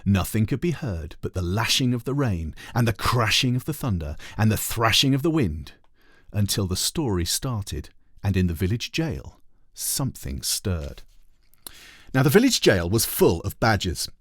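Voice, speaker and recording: A man with a lowish voice reads a children's storybook aloud. His delivery is expressive and very animated, leaning on words for dramatic effect. The sound is dry, with little room around it. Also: moderate pace, breathy edge, dry recording. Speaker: teacher reading aloud to children.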